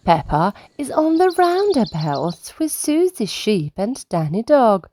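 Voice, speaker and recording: A woman reading a children's story aloud in an animated manner aimed at young children. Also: a little high, slow pace, slight background noise.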